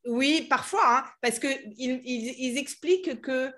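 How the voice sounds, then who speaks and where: Chatty woman host, bright higher voice, casual interviewer. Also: unhurried. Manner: chatty, many fillers like euh, hein, voilà. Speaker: host of a French learning club.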